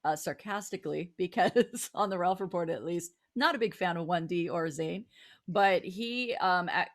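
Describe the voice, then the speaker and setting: Chatty woman with a slightly low voice. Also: normal speed. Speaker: talk show co-host. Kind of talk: casual music and fan chat.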